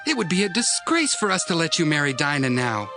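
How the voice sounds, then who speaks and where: Stern young clansman, crafty, raised pitch, animated scripture drama over music. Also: fairly quick. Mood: stern, bargaining. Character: Jacob's son, stern, cunning young tribesman. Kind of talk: dramatized audio Bible acting.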